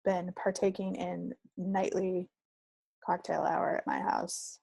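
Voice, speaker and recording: A woman's voice: fairly low pitch, flat delivery, takes it slowly, creaky, darkish tone, no room sound. A woman co-hosting a podcast, chatting casually in a playful, wry way. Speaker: podcast co-host. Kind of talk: casual chat.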